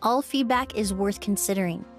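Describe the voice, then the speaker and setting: An actress voicing a poised, thoughtful young woman perfumer in a video game, speaking in a composed, articulate, measured way. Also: mid-range voice, soft background music, close to an everyday voice. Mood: polite, modest. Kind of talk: scripted video game dialogue.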